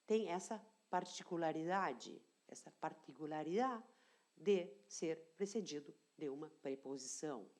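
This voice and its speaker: A woman teacher explaining grammar in an online lesson, deliberate and repeating key phrases, often checking in with her students. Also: deep voice, expressive, darkish tone. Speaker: language teacher.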